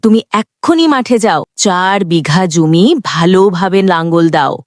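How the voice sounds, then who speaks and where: A woman's voice: lowish voice, lively intonation, moderate pace, dry recording, studio quality, lightly acted. A woman voicing a bossy farmer's wife in a cartoon story, urgent and commanding. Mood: pressing. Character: bossy farmer's wife urging husband to work. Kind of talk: dubbed cartoon dialogue.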